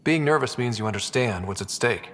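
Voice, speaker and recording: Lively young man, wry space explorer, acted. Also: mid-range voice, brisk, long reverb tail, lightly acted. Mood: bemused, supportive. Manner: wry, casual. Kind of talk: video game dialogue acting.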